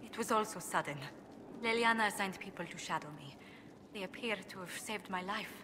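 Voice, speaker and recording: A woman's voice: medium pitch, fairly quick, close to an everyday voice. An actress playing a refined, courteous female diplomat in a fantasy video game, speaking formally in a reverberant, noisy hall. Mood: shaken. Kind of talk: cinematic game dialogue acting.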